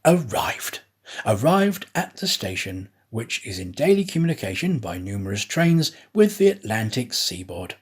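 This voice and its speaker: A man reading a classic adventure story aloud as an audiobook narrator, with a deliberate, dramatic storytelling delivery. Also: on the low side, big pitch swings, slightly rough. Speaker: audiobook reader, actor.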